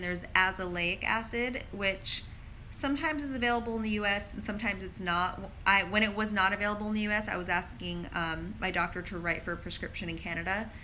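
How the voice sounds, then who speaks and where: Chatty bright-voiced woman on a phone live stream. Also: mid-range voice, a little hiss or hum, rough recording. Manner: chatty, frequent "like" fillers, reads questions aloud. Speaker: pharmacist answering viewer questions. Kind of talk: casual live Q&A. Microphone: phone held in hand.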